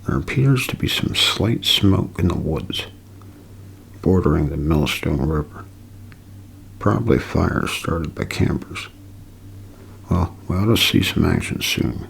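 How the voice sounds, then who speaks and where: A man's voice: low-pitched, creaky, breathy edge, heavily acted. A man playing an urgent military eyewitness reporter in a 1930s-style radio drama, breaking off in alarm. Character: excited male military radio reporter watching the invasion.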